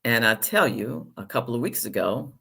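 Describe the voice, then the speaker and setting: A woman hosting a podcast with a bright, forward tone. She chats casually and tells self-deprecating stories, laughing at her own slips. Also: very deep voice, animated, moderate pace, creaky, slightly rough. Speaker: podcast host. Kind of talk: podcast interview conversation.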